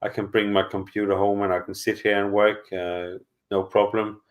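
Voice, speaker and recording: A man's voice: fairly low pitch, little pitch movement, moderate pace. A middle-aged man chatting casually at home on a live stream, answering viewers and talking in a hesitant, rambling way with lots of fillers and restarts. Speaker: channel host answering live chat.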